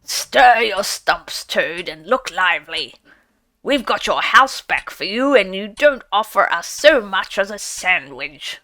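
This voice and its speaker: A woman voicing a gruff old male badger who talks in a blunt, common way and gives orders loudly. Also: medium pitch, big pitch swings, slow, full character voice. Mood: gruff demanding. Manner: blunt, plain common way of speaking.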